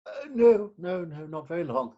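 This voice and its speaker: A man playing a timid, flustered gentleman in a Victorian farce reading, stammering protests over lo-fi remote audio. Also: a little high, animated, moderate pace. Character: timid, flustered stockbroker gentleman dragged out carousing. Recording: dull, lo-fi remote call audio.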